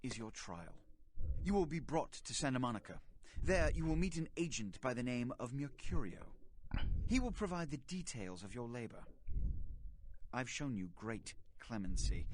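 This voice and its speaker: A man playing a stern, imperious vampire prince in a video game, formal and measured in his commanding delivery. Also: mid-range voice, big pitch swings, slight background noise, natural voice. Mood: cold, commanding. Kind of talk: dramatic video game voice acting.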